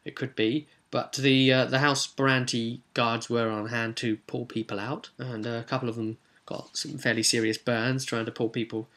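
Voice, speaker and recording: A man's voice: medium pitch, flat delivery, normal speed, hardly any pauses, fairly bright tone. A man rambling hesitantly as a game master, casually recapping a tabletop role-playing session and often correcting himself.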